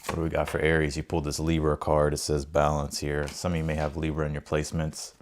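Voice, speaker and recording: Very deep-voiced casual American man. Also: monotone, quick pace. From United States, grew up in Ohio and Texas. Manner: informal, rambling, repeats phrases. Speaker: tarot reader hosting a livestream. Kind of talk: casual livestream chat and card reading.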